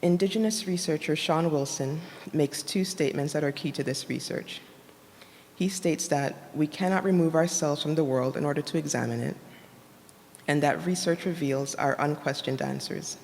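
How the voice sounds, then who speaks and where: A soft-voiced woman reading a prepared academic conference talk in a measured, formal way, enunciating carefully. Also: deep voice. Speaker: academic presenter, designer. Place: indoor conference room at a university. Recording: reverberant hall, noticeable background noise.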